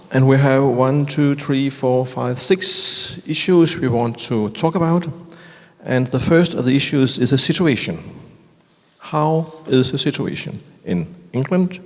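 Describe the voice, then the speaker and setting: In a hall with long reverb, a man moderates a panel discussion. He has a Danish accent and an average-pitched voice, and his English is halting and clearly a second language. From Denmark. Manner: halting non-native English. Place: large reverberant conservatory hall.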